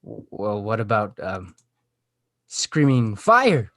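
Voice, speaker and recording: A man playing an earnest, lovesick sixteen-year-old boy in a comedy table read, halting and pleading. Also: fairly low pitch, big pitch swings, fairly bright tone, no room sound, exaggerated voice. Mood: earnest, hopeful.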